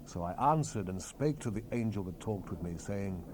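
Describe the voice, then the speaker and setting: A man narrating scripture in a solemn, measured, theatrical manner, as part of a dramatized Bible recording. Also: on the low side, lively intonation. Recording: hissy 1970s cassette rip.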